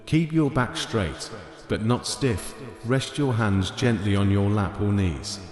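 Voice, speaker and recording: A man narrating a scripted guided meditation in a gentle, unhurried manner, with short phrases spaced apart. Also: on the low side. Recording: echoey long reverb over ambient music. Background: soft ambient music.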